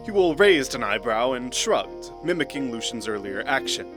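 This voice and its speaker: A man narrating a scripted fantasy audio drama in a measured, literary storytelling manner. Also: mid-range voice, very animated, music in the background.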